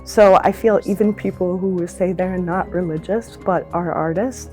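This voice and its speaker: A woman's voice: lowish voice, fairly even intonation, moderate pace, a little mellow, faint music behind. A woman who dances, speaking earnestly and reflectively in an interview, often with ums and likes. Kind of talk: documentary interview.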